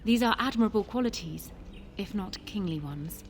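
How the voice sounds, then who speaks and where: An actress playing a proud, ambitious queen in a fantasy video game, speaking formally with calm, measured persuasion. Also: medium pitch, slight background noise, natural voice. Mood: cool, critical. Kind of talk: fantasy game dialogue acting.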